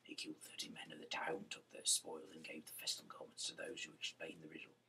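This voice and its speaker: A man reads scripture aloud in a very low, creaky voice with a tense, sharp edge. His delivery is halting and breathy, with stumbles and restarts. He sounds some distance from the microphone. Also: monotone, in a whisper. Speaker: reader, host of a Bible-reading series. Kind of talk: amateur scripture read-aloud.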